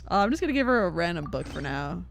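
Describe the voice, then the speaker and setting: A woman's voice: lowish voice, very animated, fast, vocal fry, slight background noise. A woman chatting casually and thinking aloud as she livestreams a game. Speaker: game streamer. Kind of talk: casual livestream gaming chat. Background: game music.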